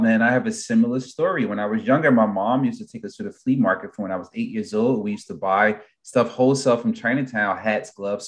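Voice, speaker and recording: A man's voice: fairly low pitch, fairly bright tone. A man hosting an interview podcast, chatting in an upbeat, conversational way. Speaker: podcast host.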